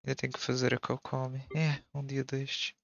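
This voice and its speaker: A man's voice: medium pitch, little pitch movement, fairly quick, gravelly, a bit bright, no echo, dull audio. A man giving casual commentary as he hosts a gaming video. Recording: game music behind the voice. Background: game music.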